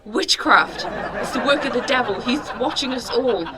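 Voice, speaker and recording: Actress as frantic female village doomsayer, high-pitched, declamatory, superstitious, amid a noisy crowd. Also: lively intonation, normal speed, close to an everyday voice. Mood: fearful, fervent. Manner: declamatory, alarmist. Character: frantic superstitious village woman preaching doom. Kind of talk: fan audio drama acting. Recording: busy crowd behind, off mic.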